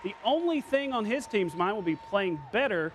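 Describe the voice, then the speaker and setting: A high-pitched American man anchors a local-style sportscast. He reads scripted scores and highlight calls with playful, colloquial punch. There is some noise behind his voice. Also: lively intonation, moderate pace.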